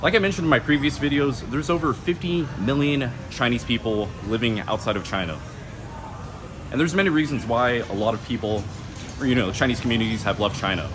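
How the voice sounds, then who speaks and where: A man talks to camera in a relaxed, joking way, often teasing the person with him. His voice sits at an ordinary middle pitch. Heavy traffic and street din roar around him. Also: a little fast. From United States. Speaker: travel vlogger. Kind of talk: casual walking vlog to camera. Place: outdoors on a busy city street. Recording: loud outdoor street noise. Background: loud traffic and street noise.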